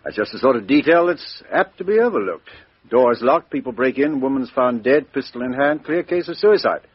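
Commanding inspector, animated, husky, dry lo-fi 1950s radio. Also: male voice, mid-range voice, dry recording. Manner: brisk, commanding, lively. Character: brisk middle-aged police inspector. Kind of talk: 1950s radio drama acting. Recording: dry, dull lo-fi old radio recording.

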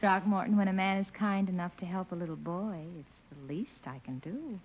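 An actress playing a coy, flirtatious American sweetheart in a 1950s radio situation comedy, with theatrical cooing. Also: female voice, mid-range voice, fairly quick, slightly rough. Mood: tender, cooing. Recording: thin, phone-like 1950 radio broadcast.

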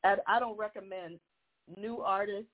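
Woman singer on the phone, lots of ums and laughs, tinny line, mid pitch. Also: fairly even intonation. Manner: laughs often, halting with many ums. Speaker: guest singer. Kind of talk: casual phone interview.

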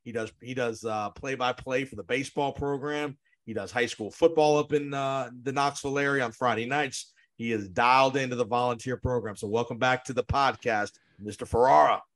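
A man hosting a sports podcast, interviewing guests in an energetic, quick manner, often repeating words. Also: mid-range voice.

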